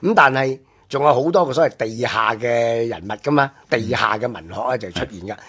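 A male radio commentator with a Hong Kong Cantonese accent talks through history without a script. His voice is slightly rough and husky at an average pitch. He phrases haltingly and discursively, stretching the particles that close his phrases. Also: a bit bright. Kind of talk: unscripted explanatory history talk.

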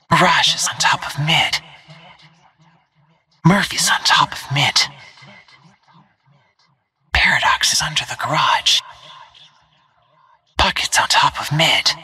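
A stealthy female assassin from a video game, speaks in terse, controlled callouts. Her voice is a husky, breathy whisper with a very sharp, bright edge. She takes her time, hushed and deliberate. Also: rumbling low, full character voice.

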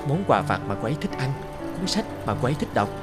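An actor playing a courteous, devoted married office man in his thirties in a cartoon, polite and earnest in measured phrases. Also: mid-range voice, big pitch swings, loud music behind the voice, natural voice. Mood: fond, earnest. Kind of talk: cartoon dub acting.

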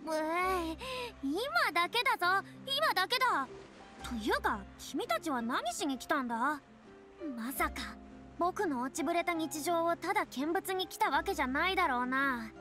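A proud dramatic anime game girl, high voice, boyish way of talking, music behind. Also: lively intonation, normal speed, pushed and bright, full character voice. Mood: indignant, flustered. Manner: haughty, dramatic, speaks with boyish self-reference. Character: proud, theatrical young woman, a retired star, boyish speech. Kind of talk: Japanese anime-style game voice acting. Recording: studio voice over game music.

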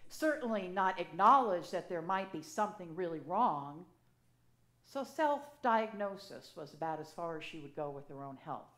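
A woman in her sixties, an assisting priest, preaching a sermon from the pulpit in a conversational, storytelling way with lively gestures, speaking into a pulpit microphone. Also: lowish voice, normal speed. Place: church sanctuary, at a pulpit. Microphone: gooseneck pulpit mic. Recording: echoey church sanctuary.

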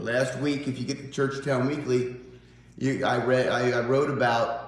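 A man in his fifties with a Southern accent, a pastor giving an informal, folksy morning devotional to a phone camera in a small church sanctuary. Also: medium pitch. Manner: folksy, conversational, animated. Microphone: phone camera on a tripod, close up. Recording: very echoey church room.

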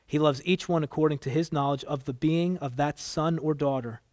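A man voicing a wise divine woman judge in a story, measured, solemn, firm and tender. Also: fairly high pitch, steady tone, darkish tone, no echo, lightly acted. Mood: calm, stern.

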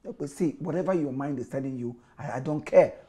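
A man's voice: on the high side, fast, mellow, natural voice. A man with a Nigerian accent playing a lecherous, blustering husband in a comedy film, stammering and repeating himself. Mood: defensive, blustering. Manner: stammering, evasive, repeats words. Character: lecherous, blustering husband caught flirting with the housemaid. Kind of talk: Nollywood comedy film acting.

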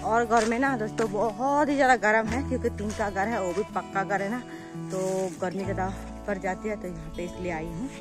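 A woman's voice: medium pitch, steady tone, a little fast, over music. A woman in her thirties with a Northeast Indian accent, chatting casually to her viewers as she films herself outdoors on a phone. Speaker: vlogger. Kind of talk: casual vlog narration to viewers. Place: outdoors in a rural village. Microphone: phone at arm's length.

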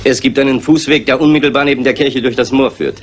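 A man plays a dutiful village sergeant in a radio mystery. He speaks at a medium pitch, with respectful, concerned caution. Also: steady tone, fast talker, over music, natural voice. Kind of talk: German radio crime drama acting.

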